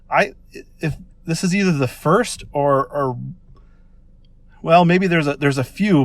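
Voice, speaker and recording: A man's voice: fairly high pitch, dry recording. An American man leaving a casual voice message, talking in an enthusiastic, informal and rambling way. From Minnesota, United States.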